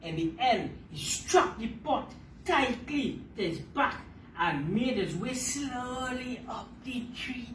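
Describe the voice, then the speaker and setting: Slow, highly animated woman storyteller. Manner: emphatic, repeats and explains phrases to listeners. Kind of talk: animated children's storybook reading. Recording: roomy, distant mic, faint background noise.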